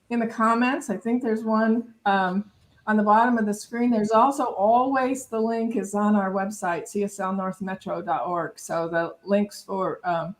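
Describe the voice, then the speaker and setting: A woman hosting an online service, giving casual announcements in an informal, halting way. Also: mid-range voice, poor audio. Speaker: service host.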